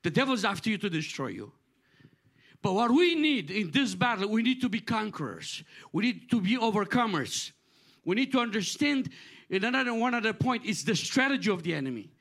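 A middle-aged man from Romania preaching with a high-pitched voice. He speaks earnestly in a repetitive preaching cadence and often stumbles and restarts his phrases. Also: sing-song, brisk, gravelly, a bit bright. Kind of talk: sermon.

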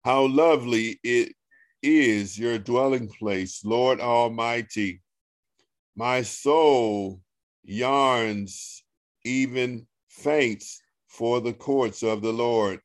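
A man with a deep voice guides a scripture reading as pastor over a video call. He talks slowly and deliberately, coaxing his listeners along. The sound is dry and close. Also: dry recording. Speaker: pastor leading a Bible study. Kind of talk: online Bible study, reading scripture aloud.

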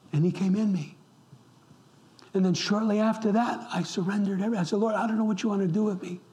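Impassioned high-voiced male pastor. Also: dark tone. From upstate New York. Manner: impassioned, repeats words for emphasis, drops to a whisper at times. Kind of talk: sermon. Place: church.

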